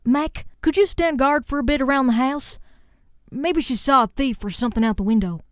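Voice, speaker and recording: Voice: high-pitched, moderate pace, no room sound, studio quality, pitched above the performer's own voice, close to an everyday voice. A performer voicing a caring grown farm mare with a Southern US accent, soothing and folksy, in a story reading. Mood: reassuring.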